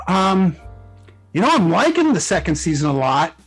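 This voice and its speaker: A man's voice: high-pitched, big pitch swings, moderate pace, husky. A man talking casually as a guest writer being interviewed about his work. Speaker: guest author, fan fiction writer. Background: background music.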